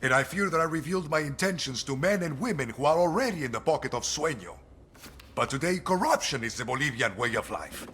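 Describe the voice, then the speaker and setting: An actor playing a principled Bolivian general in a game cutscene, formal and grave, regretful but resolute. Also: male voice, a little high, animated, fairly quick, bright, forceful tone, slight background noise. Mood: troubled. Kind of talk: video game cutscene acting.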